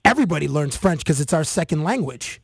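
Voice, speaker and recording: A Canadian man hosts a chatty interview and tells stories with animated energy and plenty of fillers. His voice sits high for a man. The sound is close and dry. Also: quick pace, gravelly, husky, dry recording. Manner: animated storytelling with many fillers. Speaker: podcast host. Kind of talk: casual interview chat.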